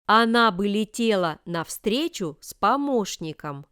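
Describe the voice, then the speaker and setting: A woman reading example sentences for language learners with careful, deliberate enunciation. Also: medium pitch, animated, a bit bright, smooth, dry recording, studio quality. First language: Russian. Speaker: language lesson reader.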